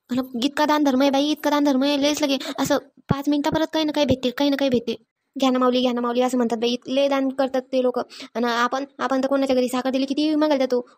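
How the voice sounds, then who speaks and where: A woman performs a bossy, practical village woman from a cartoon comedy, with a Varhadi Marathi accent. Her voice is high and rather even, and she rattles along extremely fast, repeating herself as she admonishes. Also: close to an everyday voice.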